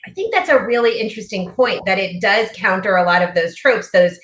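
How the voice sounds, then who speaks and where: A woman's voice: mid-range voice, animated, fairly quick, a bit bright, roomy sound. A woman hosting a live panel discussion, asking questions casually with frequent "um"s. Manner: casual, with filler "um"s. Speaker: host.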